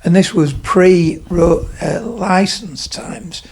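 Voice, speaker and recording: Older guy around seventy, gravelly husky voice, kinda high for a bloke, rambles on with lots of ums. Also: drawn-out words, a little mellow. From Leeds, England. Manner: rambling, digressive, many fillers. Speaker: podcast guest, retired tradesman. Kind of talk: casual storytelling interview. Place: his home.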